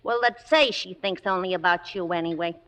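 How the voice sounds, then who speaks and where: An actress playing a blunt, wisecracking maid in a radio drama, deadpan, folksy and plain-spoken. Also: female voice, on the low side, fairly quick, in a large hall, close to an everyday voice. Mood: dry, suspicious. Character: blunt, wisecracking female maid and confidante of a star. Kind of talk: 1950s radio drama acting.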